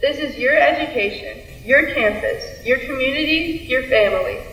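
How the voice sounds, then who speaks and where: High-voiced young woman in reverberant hall. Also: normal speed, a bit bright, soft background music. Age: young adult. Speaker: student performer. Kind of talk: scripted readers theater recitation. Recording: echoey hall, distant mic.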